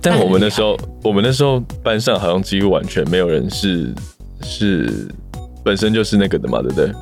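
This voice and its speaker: A man with a Taiwanese Mandarin accent and a low, magnetic voice, chatting casually in a hesitant, self-deprecating way and repeating himself. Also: animated, brisk, creaky. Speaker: podcast co-host and amateur voice actor. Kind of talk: casual chat. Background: music.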